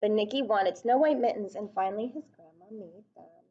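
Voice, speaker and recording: A woman's voice: mid-range voice, normal speed, highs cut off. A woman reading a picture book aloud to young children, speaking expressively and putting questions to her listeners.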